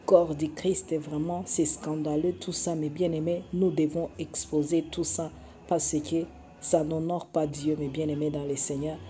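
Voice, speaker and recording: A woman's voice: sits low, level tone, measured pace, mellow. A woman from Congo giving a scolding video sermon, repeating herself often and hesitating with filler sounds. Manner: admonishing, repetitive, many hesitations and filler sounds. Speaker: online preacher.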